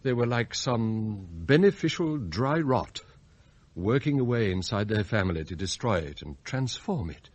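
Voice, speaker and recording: An actor playing a proud, bitter, plotting butler in a radio drama, with an English accent and formal, theatrical phrasing. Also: male voice, on the low side, big pitch swings, a little slow, darkish tone, husky, full character voice. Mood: rueful, grandiose. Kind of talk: radio drama acting, monologue and dialogue.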